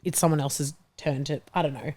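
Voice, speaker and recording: A woman's voice: low-pitched, fairly even intonation, brisk, a little mellow. Female football panelist, casual conversational delivery. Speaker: football talk show panelist. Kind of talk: casual chat.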